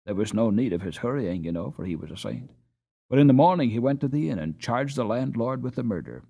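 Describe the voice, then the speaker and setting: A man's voice: mid-range voice, creaky, dark tone, husky, full character voice. A man voicing a bustling, talkative Dutch mother in an audiobook, telling things in an emphatic, breathless, run-on way. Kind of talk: audiobook character reading.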